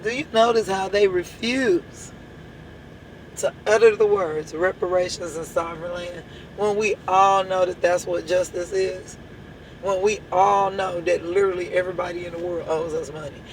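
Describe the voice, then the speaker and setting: A hoarse woman, a church leader giving an impassioned address full of repeated rhetorical questions. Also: lowish voice, steady tone, moderate pace, slight background noise, poor audio.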